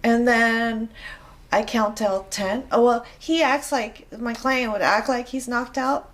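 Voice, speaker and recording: Middle-aged woman from Japan, Japanese accent, slow and halting interview guest. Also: fairly high pitch, fairly even intonation, a bit bright. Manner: hesitant, many fillers and restarts. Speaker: interview guest, rope bondage practitioner. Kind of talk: casual interview chat.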